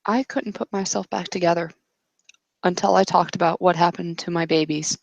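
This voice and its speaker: A woman's voice: on the low side, fairly even intonation, normal speed, vocal fry, highs cut off. A woman playing a probing, grief-hardened female companion in a roleplaying game, pressing and solemn. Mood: insistent, grave.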